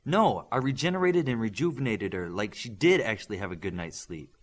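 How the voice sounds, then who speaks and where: A young heroine with healing powers voiced by a man, earnest and matter-of-fact. The audiobook delivery is husky with plenty of pitch movement. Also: lively intonation, a little fast. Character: caring, matter-of-fact young female superhero healer.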